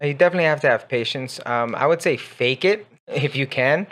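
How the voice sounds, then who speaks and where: A man's voice: mid-range voice, fairly quick, fairly bright tone. A man whose first language is Spanish co-hosting a casual chat about learning English, easygoing, reading out listener questions. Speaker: co-host, English teacher.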